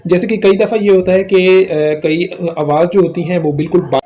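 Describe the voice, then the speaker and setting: Male tutorial host, Pakistani accent, high-pitched voice, walking viewers through app steps. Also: little pitch movement, normal speed, mellow. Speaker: host of a tech tutorial channel. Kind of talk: scripted-style phone app tutorial narration.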